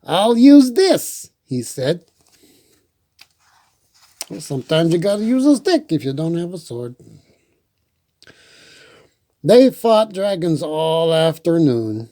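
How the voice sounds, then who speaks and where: A man's voice: a little high, big pitch swings, slow, pauses often, mellow. A man hosting storytime, reading a children's book and adding folksy, chatty asides. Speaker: storyteller and host.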